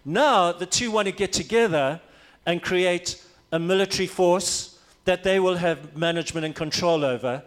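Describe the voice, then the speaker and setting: High-voiced emphatic preacher from Africa. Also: male voice, normal speed. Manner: emphatic, repeats key phrases. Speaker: pastor. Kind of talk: sermon.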